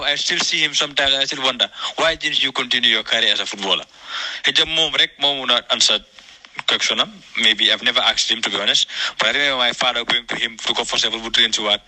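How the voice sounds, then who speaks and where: A man's voice: mid-range voice, bright, forceful tone, poor audio. A man with a Gambian accent in a casual discussion, reflective and questioning, switching between two languages. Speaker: panel discussion participant. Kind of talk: casual discussion, mixing English and Wolof.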